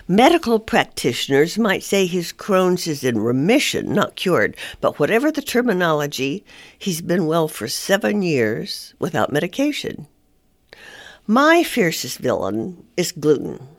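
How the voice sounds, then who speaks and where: A woman reads her own humorous column aloud with wry, self-mocking timing. Her pitch sits on the low side but swings widely as she goes. The sound is dry and close, with no room around it. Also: big pitch swings, unhurried, no echo. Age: older. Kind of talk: reading a humorous personal column.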